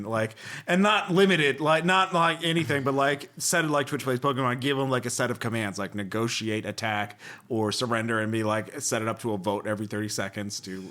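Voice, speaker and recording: A man's voice: mid-range voice, a bit bright. Rambling, jokey male podcast host. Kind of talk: casual chat.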